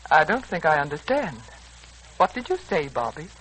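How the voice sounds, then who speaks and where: A woman's voice: deep voice, sing-song, moderate pace, fairly bright tone, poor audio. An actress playing a self-pitying, controlling middle-aged mother in a 1940s radio serial, speaking in a wounded, pointed way. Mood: cold, offended. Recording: old radio recording, faint noise.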